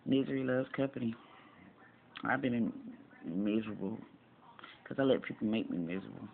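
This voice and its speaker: A man's voice: lowish voice, normal speed, gravelly. A man in his forties chatting casually, blunt and informal, on a narrow phone-like recording. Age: 40s. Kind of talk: casual candid chat, reacting to a video. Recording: narrow phone-like sound with slight noise.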